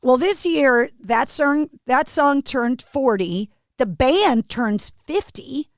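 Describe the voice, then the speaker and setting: A woman with a fairly high voice hosts a casual interview chat. She is playful and quick with a joke, and her voice sounds close and dry. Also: expressive, dry recording. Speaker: podcast host.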